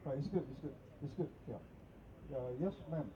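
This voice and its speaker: A man's voice: on the high side, brisk, muffled recording, noisy background. A man moderating a press conference, polite and brief.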